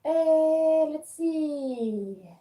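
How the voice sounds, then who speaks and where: A woman with a French accent whose first language is French, chatting hesitantly on a live stream recorded on her phone, with many pauses and fillers. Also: high voice, animated, mellow, echoey room. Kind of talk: casual live-stream chat.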